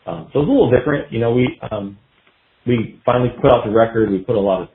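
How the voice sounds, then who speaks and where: A man answering casually in a podcast interview, telling his story in a loose, halting, reflective way. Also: mid-range voice. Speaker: guest musician, guitarist. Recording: dull phone-like line, roomy echo.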